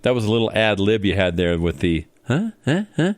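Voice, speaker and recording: A man's voice: on the low side, very animated, brisk, dry recording. A man hosting a playful comedy radio show from a studio, interviewing a guest in a mock-serious way with leading questions.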